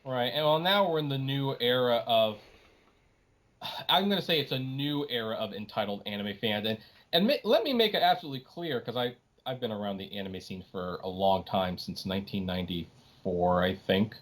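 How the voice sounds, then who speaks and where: A man's voice: mid-range voice, animated, moderate pace. A middle-aged man in a casual, opinionated podcast conversation, making his points emphatically with some exasperation. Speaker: podcast guest, anime writer.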